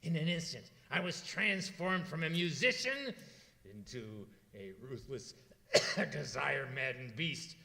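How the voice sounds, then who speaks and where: A man's voice: on the high side, expressive, measured pace, creaky, pushed and bright, exaggerated voice. A man playing a mild, goofy, lovesick suitor in an old-time radio comedy, with a hesitant, corny delivery. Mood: earnest, goofy. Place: live performance in a museum hall. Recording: reverberant hall, live stage.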